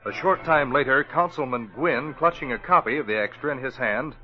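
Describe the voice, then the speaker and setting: A man with an American accent and a husky voice, giving dramatic scripted narration for a 1940s radio serial. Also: medium pitch, brisk, music in the background, natural voice. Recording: muffled old radio recording.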